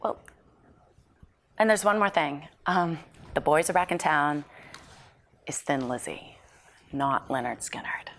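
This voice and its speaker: A woman acting as a guarded female TV psychologist in a stage drama read-through. Her voice sits on the low side, measured and a bit corrective. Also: expressive, a bit bright, full character voice. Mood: wry, reminiscing. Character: guarded woman television psychologist reminiscing with old college friends.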